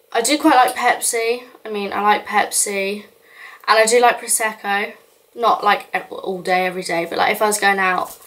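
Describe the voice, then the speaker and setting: A woman's voice: mid-range voice, a bit bright. Chatty young woman vlogger. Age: young adult. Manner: chatty, rambling, frequent "like" and "um" fillers. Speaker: YouTuber. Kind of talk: casual get-to-know-me vlog.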